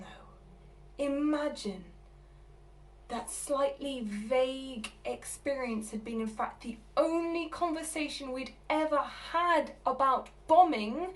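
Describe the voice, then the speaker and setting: An actress plays a flustered, exasperated woman in a stage monologue. Her voice is high. She stammers, repeats herself and breaks from halting phrases into agitated bursts as she argues with her partner. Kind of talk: dramatic theatre monologue.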